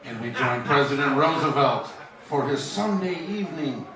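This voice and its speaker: A man playing a pompous radio correspondent and announcer in a theatrical 1940s broadcast parody, with drawn-out delivery. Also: mid-range voice, expressive, fairly bright tone, natural voice. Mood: grandiose. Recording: echoey distant old-radio sound, music behind.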